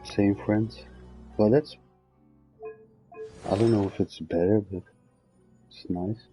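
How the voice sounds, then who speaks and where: A man with a fairly low, mellow voice chats casually while playing a video game. He takes his time, musing aloud in an unhurried way, with faint music underneath. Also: fairly even intonation. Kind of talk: casual chat while gaming.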